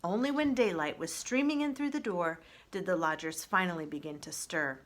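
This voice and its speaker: A woman reading a children's story aloud in an expressive storybook narration style, as a librarian would. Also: lowish voice, moderate pace.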